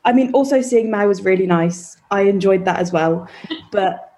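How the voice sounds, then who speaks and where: A woman's voice: mid-range voice, normal speed, dark tone. A young woman guest in a casual chat, enthusiastic and self-deprecating.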